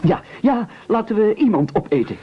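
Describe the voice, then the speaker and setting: An actor playing an anxious, selfish, fussily polite castaway gentleman in an absurdist radio play, hesitant and stammering over words. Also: sits high, very animated, moderate pace. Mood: plaintive, puzzled. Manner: hesitant, stammering repetitions, indignant. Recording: dull lo-fi old radio recording.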